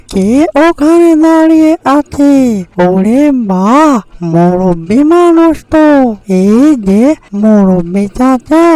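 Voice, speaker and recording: Someone doing a kind lil cartoon bird, earnest, talks slow n singsong, dry sound. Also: mid-range voice, warm tone, no room sound. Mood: admonishing. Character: kind, earnest little bird living alone, scolding yet compassionate. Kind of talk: slow cartoon storytelling dialogue.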